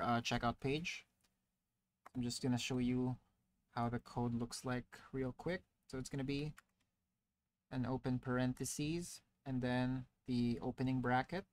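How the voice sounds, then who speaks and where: Male tutorial narrator, mid-pitch, relaxed explainer voice-over, loose and chatty with fillers. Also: a little hiss or hum. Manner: casual explaining with frequent "uh" fillers. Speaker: tutorial presenter. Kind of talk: screen-recorded software tutorial. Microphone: voice-over to screen recording.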